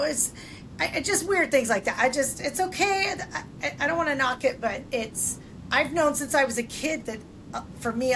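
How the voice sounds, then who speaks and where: A woman's voice: a bit bright, poor audio. An older woman co-hosting a podcast, chatting casually in a blunt, salty, informal way. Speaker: podcast co-host. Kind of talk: casual chat. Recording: clear background noise, low rumble.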